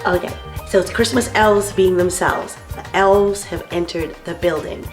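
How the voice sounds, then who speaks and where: A woman from the Caribbean talking casually as a guest author on a children's show, animated and playful. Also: fairly low pitch, unhurried, reverberant. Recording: music playing behind the voice. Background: background instrumental music.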